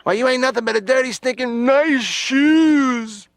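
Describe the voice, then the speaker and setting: A man's voice: extremely high pitch, a little slow, vocal fry, faint music behind, full character voice. A man voicing a cartoon patient who tells his stand-up jokes in a wry way. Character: comedian patient on the therapy couch.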